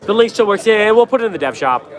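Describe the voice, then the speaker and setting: A young man hosting a casual livestream from a trade show floor, answering chat in a quick, offhand, joking way, his voice worn from a cold. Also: high-pitched, animated. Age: young adult. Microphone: phone held at arm's length. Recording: noisy crowded convention hall. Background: crowd chatter.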